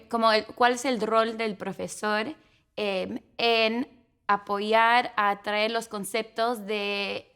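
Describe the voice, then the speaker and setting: A woman's voice: medium pitch, a bit bright, clean tone. A young adult woman, a doctoral student, speaking earnestly in an academic conversation with fillers and small self-corrections.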